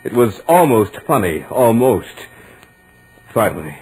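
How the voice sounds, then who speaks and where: An actor playing a studious, stubborn young lodger with dry humour, in measured, dramatic 1940s radio horror style. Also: male voice, lowish voice, sing-song, darkish tone, slightly rough, heavily acted, rough recording. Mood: excited. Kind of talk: 1940s radio horror acting, first-person narration. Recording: old radio drama recording with music.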